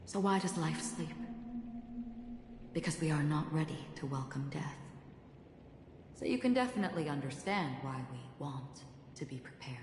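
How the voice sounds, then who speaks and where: An actress playing a calm, stoic wanderer in a game, speaking in a measured, grave and composed way. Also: female voice, deep voice. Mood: solemn, reassuring. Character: calm, solemn, stoic woman wanderer giving cryptic counsel. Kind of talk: scripted game cutscene acting. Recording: echoey hall reverb with noisy ambience.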